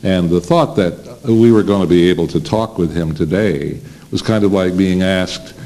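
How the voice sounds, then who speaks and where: An older American man hosting an informal live panel interview, respectful and somewhat halting. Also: sits low, dark tone. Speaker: interviewer, host. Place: seated at a panel table in a plain meeting room.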